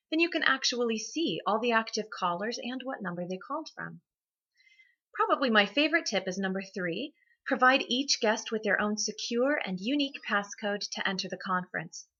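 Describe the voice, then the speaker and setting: A woman's voice: mid-range voice, pushed and bright, muffled recording. A woman hosting a scripted instructional segment, presenting in a structured way with emphasis on key points. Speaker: host of a business tips segment.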